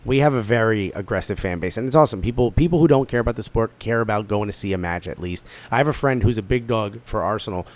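A man with a North Jersey accent co-hosting a podcast, joking and chatting casually. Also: fairly low pitch. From New Jersey area. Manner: fast-talking, joking, plugs the show. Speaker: podcast co-host. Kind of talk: casual chat.

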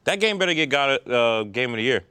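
A man's voice: medium pitch, animated, a little fast, bright, forceful tone, clean tone, no echo. A man chatting in a casual, slangy way as a podcast co-host.

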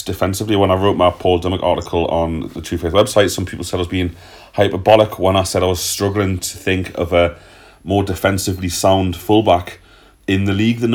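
A man hosting a casual football podcast, reading out listener questions and answering them in a chatty, loose way with the odd swear. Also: low-pitched. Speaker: podcast host.

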